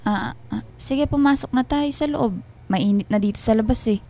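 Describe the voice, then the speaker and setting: A woman's voice: on the high side, normal speed, mellow, no room sound, natural voice. An actress playing a homesick, uneasy wife and mother in a radio drama. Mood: polite, hesitant. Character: worried, homesick wife and mother working abroad, quietly suspicious. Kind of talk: Filipino radio drama acting. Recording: music behind the voice.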